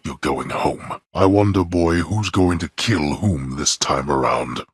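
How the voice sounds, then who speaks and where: A man playing a stern, imperious god of the underworld and disapproving father in a video game, with haughty, formal, deliberate delivery and a gravelly, husky voice. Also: extremely low pitch, no room sound, clean studio sound, exaggerated voice. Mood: scornful, exasperated. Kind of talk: theatrical video game voice acting.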